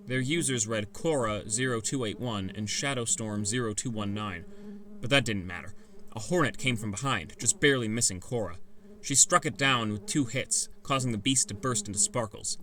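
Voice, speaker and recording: A man's voice: on the low side, normal speed, pushed and bright. A man narrating a first-person fantasy adventure story in a scripted, dramatic audiobook style.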